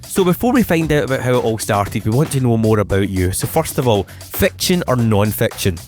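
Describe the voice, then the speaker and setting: A man's voice: medium pitch, very animated, darkish tone, faint music behind. A man hosting a local radio interview, upbeat and encouraging. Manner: upbeat and encouraging, with a quick stock of praise. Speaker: radio show host.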